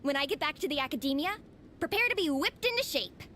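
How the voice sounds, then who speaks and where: A woman's voice: high voice, expressive, fast, sharp tone, slight background noise. A woman voicing an earnest young student superfan in a video game, with dramatic, grandiose phrasing. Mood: determined, dramatic.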